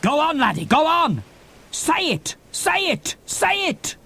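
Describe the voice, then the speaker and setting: A man's voice: extremely high pitch, wildly swooping pitch, takes it slowly, sharp tone, voice pushed up, full character voice. A man voicing a theatrical, blustering sea captain in a dramatised children's audiobook. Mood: urgent coaxing. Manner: melodramatic, archaic seafaring talk.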